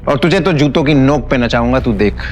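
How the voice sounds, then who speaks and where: A man's voice: medium pitch, a little fast, highs cut off, over music, lightly acted. A man playing a defiant, swaggering underdog husband in an audio drama, talking with scorn. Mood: menacing. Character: defiant, cocky underdog husband with secret power. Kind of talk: Hindi audio drama acting.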